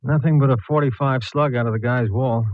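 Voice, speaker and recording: An actor playing a dry, laconic, teasing homicide inspector in a 1940s radio detective drama. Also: male voice, medium pitch, steady tone, brisk, no room sound, lightly acted. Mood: dry, businesslike. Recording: muffled, thin vintage radio recording.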